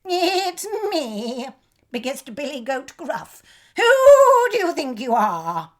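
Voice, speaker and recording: A woman's voice: high-pitched, sing-song, slow, voice pushed up, heavily acted. Woman doing a bold, bleating big billy goat. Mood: defiant. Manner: forced confident bleat. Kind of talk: storybook reading.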